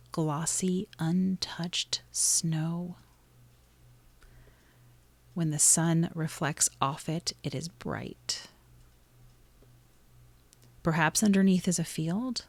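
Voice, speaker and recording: Low-pitched woman guiding a meditation. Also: no room sound. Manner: soothing, gently instructive, pausing between short phrases. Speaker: meditation guide. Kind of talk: guided meditation narration.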